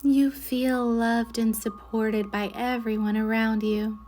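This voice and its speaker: A woman guides a meditation in a fairly high, mellow voice. She speaks slowly and soothingly, letting her phrases trail off softly and breathily. The delivery is measured narration for relaxation. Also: flat delivery, a little hiss or hum. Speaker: guided meditation leader, Reiki instructor.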